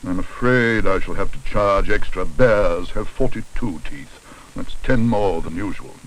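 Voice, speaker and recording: A man's voice: low voice, unhurried, far from the mic, a little hiss or hum, poor audio. A man voicing a fussy, long-suffering male dentist, grudging and professional, in a children's storybook. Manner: hesitant hums and throat-clearing.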